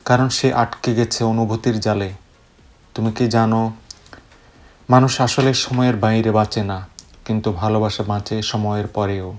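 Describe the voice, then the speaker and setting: Low-voiced man reciting poetry over music. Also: a little mellow. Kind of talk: dramatic spoken-word poetry recitation. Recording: music bed under the voice. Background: background music.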